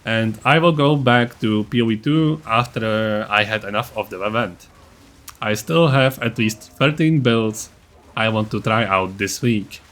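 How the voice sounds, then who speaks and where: A man's voice: lowish voice, unhurried, fairly bright tone. A man giving a scripted, matter-of-fact game guide narration, with a blunt, self-deprecating streak. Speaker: gaming video creator.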